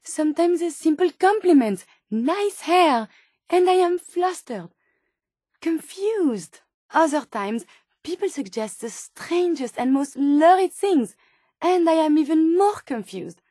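An earnest, sensitive female robot scientist from a video game, with a French accent and a high voice. She speaks in formal, precise phrases, polite and curious. The recording is dry and close, with no room sound. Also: lively intonation, takes it slowly, dark tone, no echo, full character voice. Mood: flustered. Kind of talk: video game character dialogue.

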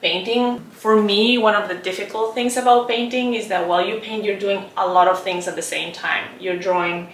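A woman's voice: medium pitch, steady tone, normal speed, hardly any pauses, far from the mic, slight background noise. A woman in her thirties from Chile, a visual artist speaking thoughtfully in a documentary interview with lots of "like" and "um". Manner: thoughtful, soft-spoken, many "like" and "um" fillers. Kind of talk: documentary interview, reflective talk. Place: roomy painting studio, indoors. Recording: echoey studio room, off-mic.

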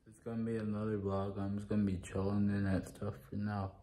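A man's voice: lowish voice, little pitch movement, brisk, warm tone. A young man talking casually to his phone camera in a small living room, rambling and repeating the same filler phrases. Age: young adult. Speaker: vlogger. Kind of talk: casual vlog talk to camera. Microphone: phone camera at arm's length.

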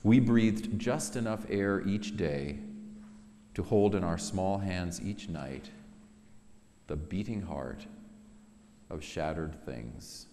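A man in his fifties giving a solemn, measured poetry reading with dramatic pauses, in a large sanctuary. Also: low voice, animated, dark tone. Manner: measured, dramatic pauses between short phrases. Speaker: author reading own work. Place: large synagogue sanctuary, indoors. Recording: long reverberant hall, music behind.

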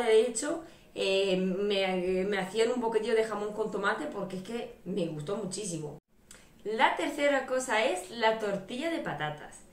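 A woman in her thirties from Bulgaria chatting casually and enthusiastically to camera as a vlogger. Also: mid-range voice. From Sofia, Bulgaria. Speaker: vlogger, channel host. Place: small bare-walled room indoors. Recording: roomy echo, distant microphone.

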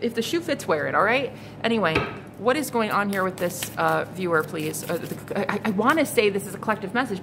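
Blunt, exasperated woman reading tarot cards. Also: medium pitch. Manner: blunt, exasperated, swears freely, repeats herself. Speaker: psychic tarot reader. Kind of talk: casual tarot reading to camera. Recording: noticeable background noise.

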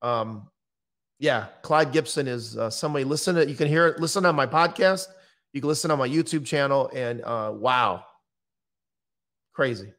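A man's voice: medium pitch, moderate pace, fairly bright tone. A middle-aged American man talking in a blunt, matter-of-fact way, as a guest in a conversational interview. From United States. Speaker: podcast guest, true-crime interviewer and author. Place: indoor room, on a video call. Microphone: microphone on boom arm.

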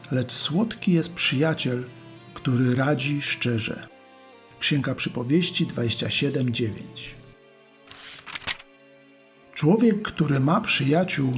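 Man reading bible verses really slowly and solemn, mid pitch, faint music under it. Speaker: reader of Bible verses and titles. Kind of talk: scripted scripture reading.

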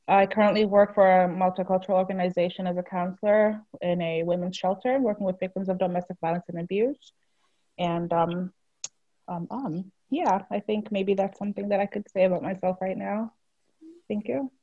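A woman from Finland, a counselor at a women's shelter, speaking softly as a panelist on a video call. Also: mid-range voice, fairly even intonation, normal speed, no room sound, phone-quality sound.

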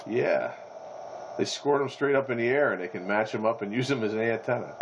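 A man's voice: mid-range voice, animated, a little fast. A middle-aged or older man chatting casually and jokingly over CB radio. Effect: voice through CB radio. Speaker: CB radio operator. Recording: muffled, very noisy CB radio.

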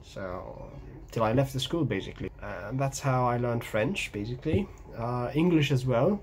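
A young man with an Egyptian accent, whose first language is Egyptian Arabic, talks to camera in a home vlog, pausing often with ums and restarts. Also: medium pitch, lively intonation, a little mellow, slight background noise. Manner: hesitant, many ums and restarts, gestures while talking. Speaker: language-learning vlogger. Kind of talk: casual talking-head vlog. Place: small room at home by a window.

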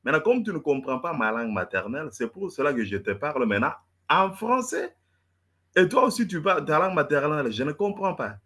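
Stammering husky man lecturing. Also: on the high side, big pitch swings, fairly quick, pushed and bright. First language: not French. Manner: stammering, repeats words, lecturing. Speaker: debater. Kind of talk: heated live debate.

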